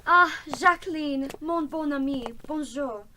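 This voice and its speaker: Bossy female gang leader, high bright voice, curt, irritable, slightly off-mic, comic radio play. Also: a little slow, far from the mic, slight background noise. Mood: politely businesslike. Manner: curt, commanding. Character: irritable, imperious female crime boss. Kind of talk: comic radio drama acting.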